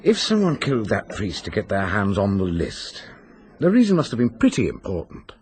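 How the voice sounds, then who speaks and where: An actor with a British accent playing a keen, affable pathologist turned amateur sleuth in a radio drama. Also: male voice, on the low side, wildly swooping pitch, normal speed, a little mellow. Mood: wry, keen.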